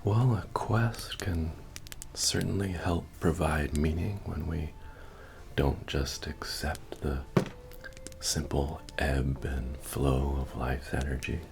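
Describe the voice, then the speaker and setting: A man playing a friendly, humble medieval fantasy innkeeper in a soft-spoken, deep-voiced, understated ASMR roleplay. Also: steady tone, slow, creaky, noisy background, full character voice. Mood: easygoing, musing. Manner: hesitant, rambling, understated delivery. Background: bard music.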